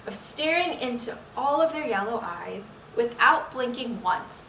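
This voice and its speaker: A young woman reads a picture book to children in a fairly high, bright voice. She is expressive and keeps drawing listeners in with questions. The recording sounds echoey, with the mic some way off. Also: measured pace. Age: young adult. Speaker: medical student reading a picture book to children. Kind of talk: children's read-aloud storytelling. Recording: roomy echo, distant mic, faint noise.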